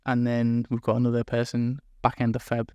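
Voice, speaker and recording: A man from England with a mild Liverpool accent, talking as a guest about his business in a relaxed, rambling way. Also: mid-range voice, fairly quick, clean tone, no room sound, studio quality. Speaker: guest, recruitment business owner. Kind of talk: casual interview chat. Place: room in Dubai.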